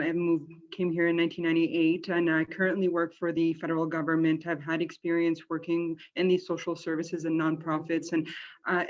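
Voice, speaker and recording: A panelist from Palestine introducing themself in a polite, measured way with some hesitations, heard over a video call. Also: mid-range voice, dull audio. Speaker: panelist, government worker.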